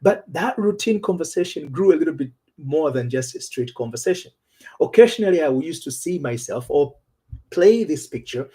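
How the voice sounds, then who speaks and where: Husky-voiced man coaching. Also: on the high side, expressive, moderate pace, mellow. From Tanzania. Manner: earnest and personal, with frequent restarts. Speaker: relationship coach. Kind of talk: storytelling talk show monologue.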